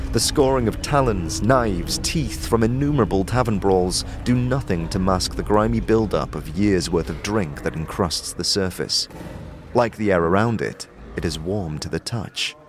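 A man narrating a scripted fantasy audio drama in the second person, with measured, atmospheric storytelling. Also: lowish voice.

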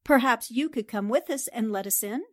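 A woman's voice: a little high, normal speed, dry recording, studio quality, close to an everyday voice. A woman playing a confident, determined newspaper sleuth in 1940s radio drama style, assertive and politely pointed. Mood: coolly insistent.

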